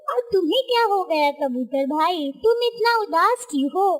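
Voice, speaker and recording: Kind little female bird, played by a woman, very high, eager, reassuring cartoon voice. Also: expressive, normal speed. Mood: concerned, reassuring.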